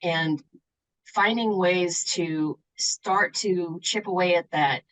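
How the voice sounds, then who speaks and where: A woman's voice: on the low side, takes it slowly, fairly bright tone, poor audio. A woman from the Seattle area who works as a university accessibility coordinator, answering interview questions in an earnest, reflective way with some fillers.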